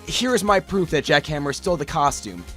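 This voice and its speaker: Casting: male actor as young defense attorney for a game fandub, high voice, earnest, declamatory, music underneath. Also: very animated, brisk. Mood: assertive. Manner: declamatory courtroom argument. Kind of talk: amateur anime-game fandub acting.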